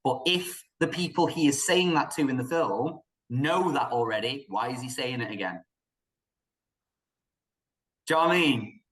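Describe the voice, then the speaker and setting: A man's voice: medium pitch, normal speed, pauses often, a bit bright, echoey room. A man with a British accent co-hosting a film podcast, talking casually and bluntly in an informal, opinionated way.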